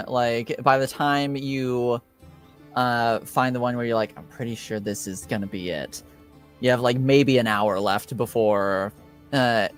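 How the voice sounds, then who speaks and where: A man narrates a tabletop roleplaying game as its game master, making it up as he goes. His delivery is very slow and halting, with frequent pauses and ums. His pitch is average, and soft music sits in the background. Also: clean tone.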